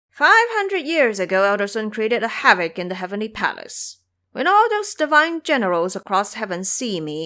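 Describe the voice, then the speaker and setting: Woman doing proud monkey king guy, hot tempered n boastful, audio drama, very animated pitch. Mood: indignant, boastful. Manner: boastful, declamatory. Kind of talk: audio drama character acting.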